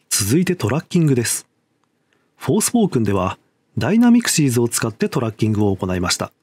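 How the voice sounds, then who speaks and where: A man delivers a scripted technical presentation at a quick clip. His voice is a little high for a man and very animated in pitch, yet the wording stays formal and polite. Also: no room sound. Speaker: lead facial animator, presenter.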